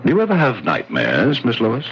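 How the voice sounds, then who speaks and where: An American actor playing a smooth, measured, faintly condescending psychiatrist in a 1970s radio drama. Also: male voice, mid-range voice, wildly swooping pitch. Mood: calmly probing. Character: smooth, probing male psychiatrist with unsettling calm. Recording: dull, noisy 1970s radio recording.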